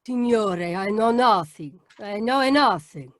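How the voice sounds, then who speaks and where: A woman's voice: mid-range voice, animated, slow pace, no room sound, clean studio sound. A woman playing a frightened, evasive Italian landlady with an Italian accent in a radio mystery. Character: frightened, evasive Italian rooming-house landlady, big and motherly. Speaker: actress in a radio mystery performance. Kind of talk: 1940s radio drama acting. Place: home, live video call performance.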